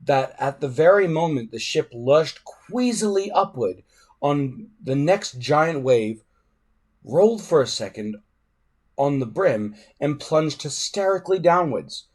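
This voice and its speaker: A man reading a storybook aloud in an expressive, dramatic way. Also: a little high, unhurried. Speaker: reader.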